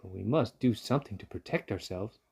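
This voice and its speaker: A man's voice: on the low side, a little fast, vocal fry, darkish tone, slightly rough. Man doing a kindly, trusting mouse wife. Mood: trusting, concerned. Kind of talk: storybook read-aloud character.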